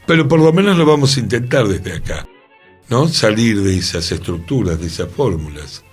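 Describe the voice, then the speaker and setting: A man, co-hosting a radio talk show, speaking in a measured, reflective way. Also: fairly low pitch, lively intonation, breathy edge, faint music behind. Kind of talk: casual radio discussion. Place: radio studio.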